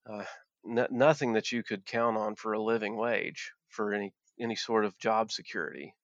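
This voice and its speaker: A man's voice: fairly low pitch, steady tone, normal speed, no echo. A man from southwest Virginia, a former coal miner speaking earnestly as an interview guest, often restarting and repeating words. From southwest Virginia, Appalachia. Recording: dull, dry lo-fi sound.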